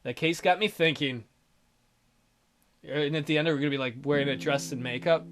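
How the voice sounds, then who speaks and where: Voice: medium pitch, normal speed. A gamer giving casual let's play commentary and reading game text aloud. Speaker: gaming video host. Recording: music behind.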